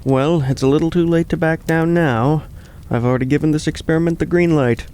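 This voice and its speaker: A man's voice: on the high side, fairly even intonation, brisk, darkish tone, clear, resonant tone, natural voice. Man as smug, unyielding boss. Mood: smug, firm. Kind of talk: audio drama acting.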